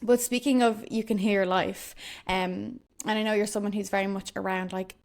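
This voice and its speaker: Soft-spoken woman host. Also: medium pitch, fairly even intonation, normal speed, gravelly. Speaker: podcast host. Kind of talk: casual interview chat.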